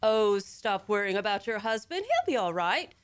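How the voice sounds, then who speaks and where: A woman's voice: mid-range voice, sing-song, normal speed, clear, resonant tone, lightly acted. An actress playing a bossy, scolding married woman in an old-time radio comedy, speaking imperiously. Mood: bossy, fussy. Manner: imperious, insistent.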